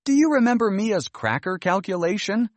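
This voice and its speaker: Man playing a kindly, lively storybook grandmother. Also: high-pitched, normal speed, dry recording, pitched above the performer's own voice. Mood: amused. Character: kindly wise grandmother telling lessons.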